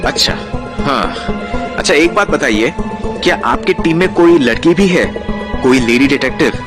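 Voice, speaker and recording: A man's voice: a little high, lively intonation, a little slow. A man acting as a naive, anxious, good-hearted young traveller in an audio drama, speaking in an emotive, dramatic way. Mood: astonished, curious. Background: background music.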